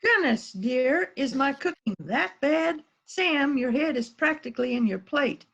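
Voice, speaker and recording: A woman's voice: sits high, lively intonation, unhurried, vocal fry, fairly bright tone, full character voice. A woman playing a fretful, apologetic party hostess in an amateur radio-style play, with dull lo-fi sound. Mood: flustered concern. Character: polite flustered housewife hosting an anniversary party.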